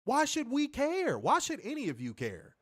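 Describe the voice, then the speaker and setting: A man's voice: extremely high pitch, wildly swooping pitch, moderate pace, slightly rough. A man co-hosting a wrestling review show, ranting bluntly and profanely while stuffed up with a cold. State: congested, stuffed up. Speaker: podcast co-host.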